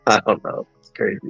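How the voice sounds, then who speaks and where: A man on a crypto stream with a bright, forceful voice and dry, close sound. He makes offhand asides with a chuckle, over faint music. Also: dry recording. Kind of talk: casual crypto livestream chat.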